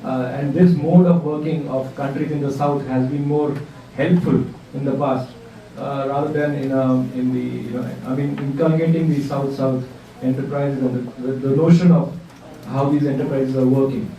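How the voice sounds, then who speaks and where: A man from India speaks up in a group discussion, halting and full of fillers. His voice is dark and mellow, picked up from a distance in a very echoey room with faint background noise. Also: medium pitch, fairly even intonation. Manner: hesitant, many fillers and restarts. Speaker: workshop participant. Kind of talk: spoken contribution in a group discussion.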